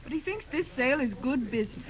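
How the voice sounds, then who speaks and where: A woman's voice: high voice, expressive, fast talker. An actress playing an earnest, spirited young American railroad woman in old-time radio drama style. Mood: worried. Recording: dull, noisy vintage radio recording.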